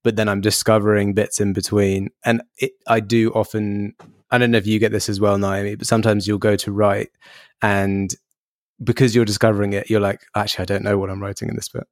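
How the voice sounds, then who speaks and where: A man hosting a casual podcast interview, chatty and quick to laugh. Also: fairly low pitch, little pitch movement, dry recording, clean studio sound. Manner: chatty, laughs easily, trails into restarts. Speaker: podcast host.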